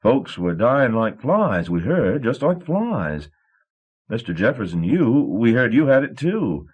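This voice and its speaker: A man's voice: medium pitch, very animated, a little slow, a little mellow, slightly rough, muffled recording, pitched above the performer's own voice. A man voicing a nervous young shipping clerk who stammers and babbles. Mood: flustered.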